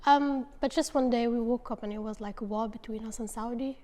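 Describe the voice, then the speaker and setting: Interviewee: young woman from Yemen, high-ish voice, halting, searching for words, long reverb. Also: fairly even intonation, warm tone. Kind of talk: casual interview answers.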